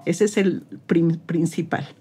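Woman, Mexican accent, mellow tone, earnest, encouraging, interview guest. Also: fairly low pitch, slow, slightly rough. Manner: earnest, encouraging, faith-driven advice. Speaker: English teacher, guest. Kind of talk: interview conversation.

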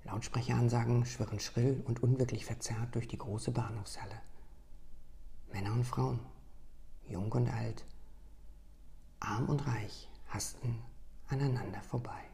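Female storyteller, hushed whispered reading, slow and soft, husky low register with fry. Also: fairly even intonation.

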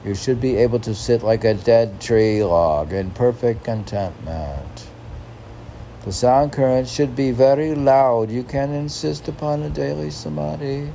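Slow, gravelly low-voiced man. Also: dark tone, slight background noise. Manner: musing, halting, chuckling at his own remarks. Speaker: poet reading his own work. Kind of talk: poetry reading with rambling casual asides.

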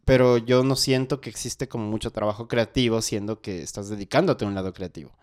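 A man's voice: lowish voice, fairly quick, a little mellow. Casual Quito-accented man hosting a chat. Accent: Quito Ecuadorian Spanish. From Ecuador. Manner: casual, reflective, keeps checking in with "¿cachas?". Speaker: host, musician. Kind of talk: conversational interview.

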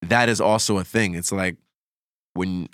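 A man's voice: low voice, fairly even intonation, unhurried, a bit bright, dry recording, studio quality. A man talking casually as a podcast guest, reflective and slangy as he shares opinions.

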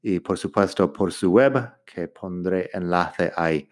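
Lively low-voiced male podcast host. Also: normal speed. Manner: lively, promotional, swooping up in pitch. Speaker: podcast host, English teacher. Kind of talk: upbeat podcast introduction. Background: faint music.

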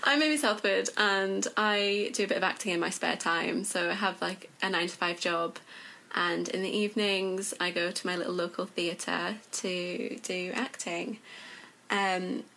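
Low-pitched young woman chatting. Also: steady tone, unhurried, creaky, pushed and bright, low-quality recording. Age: young adult. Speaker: guest, amateur stage actress. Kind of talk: casual interview chat.

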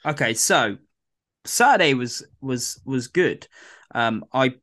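A man co-hosting a podcast in casual chat, his voice a bit croaky, rambling with lots of fillers and restarts. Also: medium pitch, lively intonation, takes it slowly, sharp tone. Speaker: podcast co-host.

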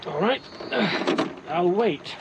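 A man's voice: high voice, expressive, slow pace, gravelly, very noisy, heavily acted. A guarded Cairo boatman. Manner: guarded, matter-of-fact. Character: wary Cairo boatman, adult man. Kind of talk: radio drama acting.

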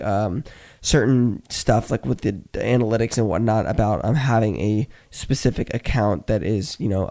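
A young man hosting a casual podcast, his voice a bit hoarse and raspy, chatting loosely with lots of fillers and calling his listeners guys. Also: medium pitch, dark tone. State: slightly hoarse voice. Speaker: podcast host. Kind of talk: casual solo podcast talk.

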